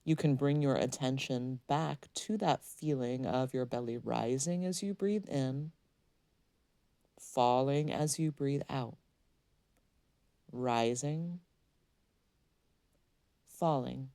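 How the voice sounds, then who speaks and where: A woman leading a guided meditation, speaking in a soothing, gently instructing way with unhurried pauses. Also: very deep voice, no echo.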